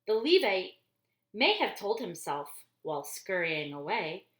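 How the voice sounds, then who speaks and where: Slow-paced woman reading to children, roomy echo. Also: medium pitch, big pitch swings, fairly bright tone. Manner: deliberate, explains things simply. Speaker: storybook reader for church children. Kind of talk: expressive children's read-aloud with simple asides. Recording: roomy, echoey indoor sound.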